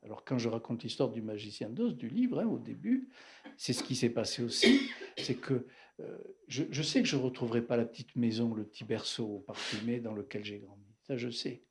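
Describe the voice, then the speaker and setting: A man's voice: mid-range voice, lively intonation, normal speed, gravelly. A man talking through a personal memory at a bookshop event, reflective and hesitant, with fillers and restarts. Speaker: psychoanalyst speaking at a bookshop talk.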